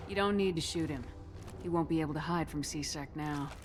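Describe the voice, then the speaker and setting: An actress playing a calm, principled female commander in a video game, speaking in a steady, reasoning way. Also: brisk, background noise, lightly acted. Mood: firm. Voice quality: low-pitched.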